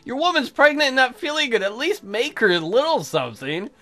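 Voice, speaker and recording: A man with a bright, high-pitched voice gives casual gaming commentary. He is crude and sarcastic, swinging widely in pitch, with music playing behind him. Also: sing-song, fairly quick. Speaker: gaming video host. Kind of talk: casual let's play commentary, reading game text aloud. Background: game music.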